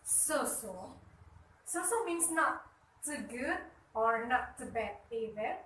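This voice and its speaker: A woman teaching a school English lesson, calmly explaining phrases and their meanings. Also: on the high side, takes it slowly, distant mic. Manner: clear, explanatory co-presenter. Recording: echoey room, voice set back from the mic.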